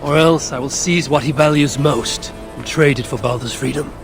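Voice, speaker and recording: A man's voice: medium pitch, normal speed, gravelly, over music, close to an everyday voice. A man playing a stern, proud Norse god and father in a video game, speaking formally and tersely. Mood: determined. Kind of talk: video game cutscene acting.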